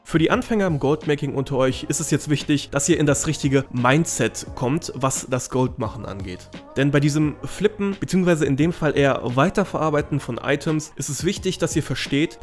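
Male host, friendly direct address, guide-style voice-over, mid pitch, soft music underneath. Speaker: gaming video host. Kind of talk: scripted gameplay guide narration. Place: voice-over over game footage.

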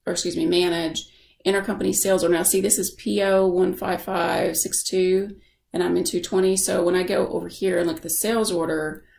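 A woman giving a casual software tutorial as a screen-share voice-over, explaining informally and thinking aloud. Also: lowish voice, flat delivery, brisk, gravelly, reverberant. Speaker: software instructor.

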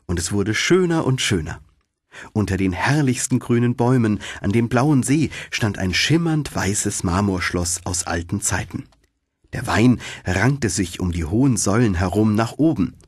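A low-voiced man reads a fairy tale as a scripted audiobook. His delivery is expressive and very animated in its melody. The voice has a husky grain and is recorded dry and close. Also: measured pace, no echo. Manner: expressive storytelling. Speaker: audiobook narrator.

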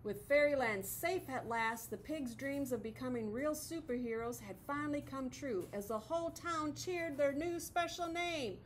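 A woman's voice: sits high, normal speed, hardly any pauses, slight background noise. A middle-aged woman teacher reading a picture book aloud expressively to children, recorded in a classroom. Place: classroom, indoors.

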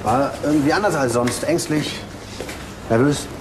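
An actor playing a businesslike police detective with terse, direct questions in a crime radio play. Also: male voice, mid-range voice, normal speed, natural voice. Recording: clear background noise of work yard.

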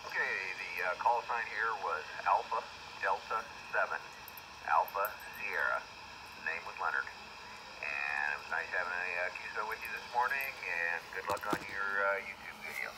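Male ham operator, deep voice, over two-way radio with very heavy noise. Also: normal speed. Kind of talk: radio contact exchange. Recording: very noisy handheld radio speaker.

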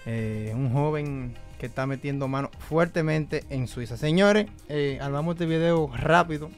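A man hosting a casual studio interview, firing off quick questions and short replies. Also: on the high side, soft background music. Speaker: podcast host. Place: small studio with a patterned wall. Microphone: studio mic on a stand, headphones.

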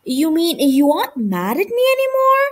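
Storybook young male mouse, earnest and hesitant, animated high voice female reader. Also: normal speed. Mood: sheepish. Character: young boy mouse, eager, sheepish, restless to grow up. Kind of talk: children's storybook character reading.

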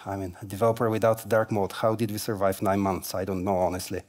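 A man's voice: lowish voice, little pitch movement, fast talker, a little mellow. A man in his forties giving a tech conference keynote on a large stage, speaking casually with many fillers and light humor through a headset mic.

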